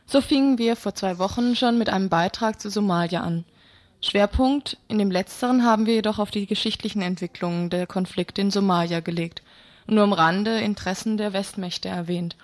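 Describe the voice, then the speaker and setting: A woman's voice: mid-range voice, darkish tone, no echo. A woman presenting a radio feature, reading formal scripted narration.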